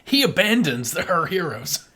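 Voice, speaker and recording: Podcast co-host, mid-pitched and very animated, chatting casually and enthusiastically about film. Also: normal speed, slightly rough. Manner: enthusiastic, imitating sound effects.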